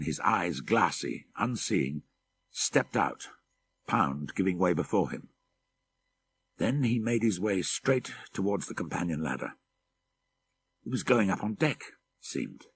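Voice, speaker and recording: A man reading a horror story as an audiobook narrator, measured and deliberate, with dramatic emphasis. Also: sits low, vocal fry, husky.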